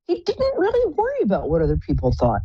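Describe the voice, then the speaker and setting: Woman, psychic radio host, low contralto, effusive, dull lo-fi sound, dry. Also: wildly swooping pitch, fast, slightly rough, no room sound. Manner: chatty, effusive, calls callers pet names. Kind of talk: live call-in psychic reading.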